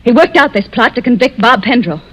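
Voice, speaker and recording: An actor playing an earnest witness giving testimony in a 1940s radio mystery, on a dull vintage recording. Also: male voice, very high-pitched, expressive, fairly quick, a bit bright, heavily acted. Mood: earnest, insistent.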